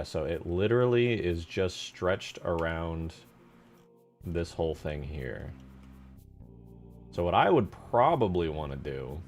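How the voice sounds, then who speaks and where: A man's voice: low-pitched, a little mellow. A man giving casual, unscripted commentary as he works on a game mod, thinking aloud in informal, slangy phrasing. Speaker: game modder hosting a development stream.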